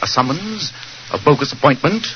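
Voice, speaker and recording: A man's voice: fairly high pitch, expressive, normal speed, lightly acted. A man playing a restless, brilliant, imperious English detective with a British accent, speaking in a commanding, theatrical 1940s radio drama manner. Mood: restless impatience. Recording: muffled, noisy 1940s radio recording.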